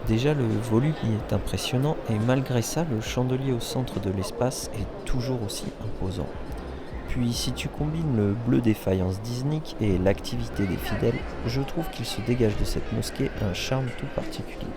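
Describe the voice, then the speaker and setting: A man hosting a travel vlog, narrating in a relaxed, wry tone that speaks straight to the viewer. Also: medium pitch, moderate pace, heavy background noise. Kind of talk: voice-over narration.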